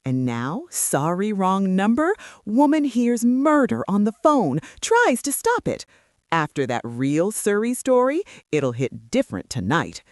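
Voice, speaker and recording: A woman's voice: mid-range voice, huge pitch swings, unhurried, a little mellow, no echo, studio quality. A woman playing a homey, gossipy retired small-town wife who is easily thrilled, in scripted radio drama dialogue. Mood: anticipating, cozy. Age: older.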